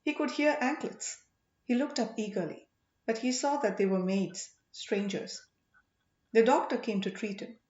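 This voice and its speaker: Expressive woman narrating, very lively pitch. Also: measured pace. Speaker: audiobook narrator. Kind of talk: dramatic scripted story narration. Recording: echoey room, slightly muffled sound.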